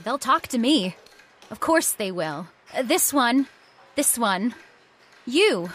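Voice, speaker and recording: A woman's voice: fairly high pitch, very animated, takes it slowly, fairly bright tone, full character voice. A woman playing a distraught, insistent young heiress, dramatic and disbelieving, in an old-time style radio horror drama. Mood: pleading, insistent. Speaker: actor in audio horror drama.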